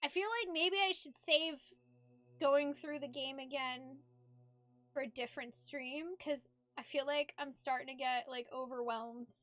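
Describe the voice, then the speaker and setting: A woman's voice: high voice, fairly even intonation, a little slow, fairly bright tone. A woman chatting casually on a game livestream, rambling and hesitant in an informal way. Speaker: streamer doing a game playthrough.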